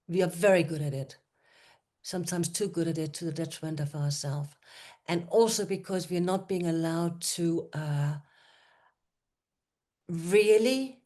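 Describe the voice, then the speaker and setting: A woman's voice: lowish voice, a little mellow. An older woman from Denmark with a Danish accent, speaking earnestly in a conversational interview, restarting phrases and searching for words. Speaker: co-host and women's work facilitator.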